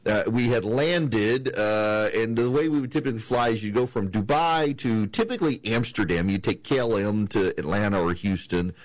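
A man from the American South hosts a political radio talk show in a loose, rambling monologue full of restarts and fillers. His voice is mid-pitched and recorded dry and close in a studio. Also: normal speed, hardly any pauses, dry recording, rough recording.

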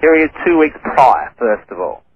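Radio guest, man, stutters, halting and repetitive delivery, muffled phone line. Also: mid-range voice, big pitch swings, husky. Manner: halting, repeats words. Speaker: guest researcher. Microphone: telephone.

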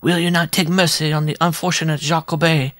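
A man reading a story aloud, voicing a tiny, proud and jealous circus dwarf in a shrill, pompous, impassioned voice. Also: level tone, fast talker, fairly bright tone, dry recording, clean studio sound, close to an everyday voice. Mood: ardent.

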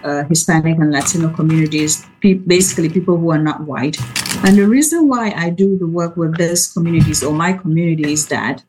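A soft-spoken woman from Cameroon, a researcher giving an informal talk over a video call. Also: sits low, slight background noise, rough recording. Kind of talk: informal presentation.

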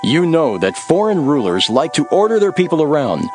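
A man playing a calm, authoritative religious teacher in a solemn, declarative way, in a dramatized scripture recording. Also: a little high, normal speed, music in the background. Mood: calm, instructing. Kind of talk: dramatized Bible audio.

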